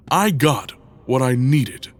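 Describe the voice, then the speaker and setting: A man's voice: medium pitch, animated, drawn-out words. A man playing an irascible, proud, restless inventor in an audio drama, speaking in curt, formal phrases. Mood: dry, defensive.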